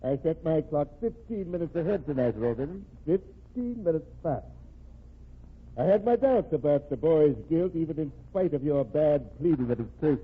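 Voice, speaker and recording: Tinny old-radio stern, shrewd governor. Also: male voice, a little high, animated, slightly rough. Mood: smugly satisfied. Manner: measured, dry, deliberate authority. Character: shrewd, stern, self-assured male state governor confronting a killer. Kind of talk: old-time radio crime drama acting. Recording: noisy, tinny old radio broadcast recording.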